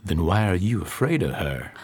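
A man's voice: low-pitched, very animated, moderate pace, a little mellow, a little hiss or hum. A man playing a courteous, observant private investigator in a radio drama, polite and inquisitive. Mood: curious, guarded.

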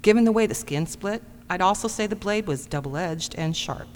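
A woman's voice: medium pitch, normal speed, lightly acted. A woman playing a wry, blunt, matter-of-fact medical examiner in an audio drama. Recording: long hall reverb, faint hum.

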